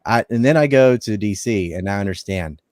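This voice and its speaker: Low-voiced, wry man chatting offhand. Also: lively intonation, brisk, a little mellow, no echo, studio quality. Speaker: podcast panelist. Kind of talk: casual chat.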